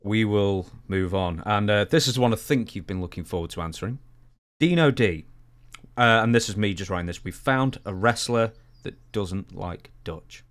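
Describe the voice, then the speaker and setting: A man's voice: on the low side, normal speed. A young man in his twenties co-hosting a podcast, chatting casually and reading out questions into a close studio mic. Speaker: podcast co-host. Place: small indoor home studio. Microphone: close boom-arm studio mic.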